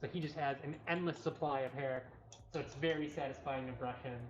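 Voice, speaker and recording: A woman's voice: very deep voice, steady tone, fairly quick, a little hiss or hum. A woman co-hosting a cat cafe livestream with casual, unscripted chat indoors. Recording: roomy, dull livestream sound with music. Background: background music.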